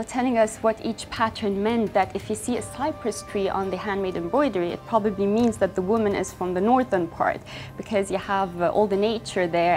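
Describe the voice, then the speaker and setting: A woman's voice: mid-range voice, a little mellow. Earnest young woman, faint music behind. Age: young adult. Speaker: fashion brand founder. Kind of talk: interview monologue.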